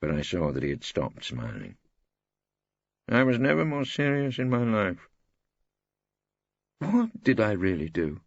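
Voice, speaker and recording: A deep-voiced English man narrates fiction with wry theatricality. His voice is husky and gravelly and swings expressively. The recording is dry and slightly dull. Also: wildly swooping pitch, measured pace, long pauses, no room sound. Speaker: audiobook narrator. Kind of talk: dramatised first-person fiction narration.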